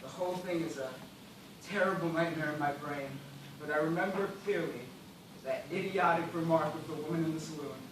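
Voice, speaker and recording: An anguished gentleman adrift on a raft acted by a man declaims theatrically in a stage play. His voice sits a little high and rings through a very echoey space, with strong noise over the recording. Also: fairly quick, close to an everyday voice. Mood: haunted. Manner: declamatory, melodramatic. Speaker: stage actor. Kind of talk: stage play dramatic monologue. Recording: very echoey stage, distant mic, loud noise.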